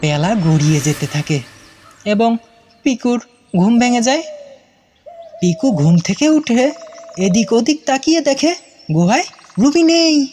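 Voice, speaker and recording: A woman's voice: on the high side, lively intonation, a little slow, pauses often, warm tone, a little hiss or hum. A woman narrating a children's cartoon story in a dramatic, suspenseful storytelling manner.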